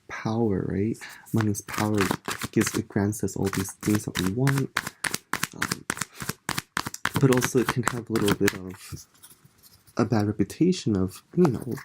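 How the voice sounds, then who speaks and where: A congested tarot reader leading a relaxed pick-a-card reading, speaking softly and musing in a meandering way. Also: mid-range voice, noisy background. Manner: soft-spoken, meandering, musing aloud.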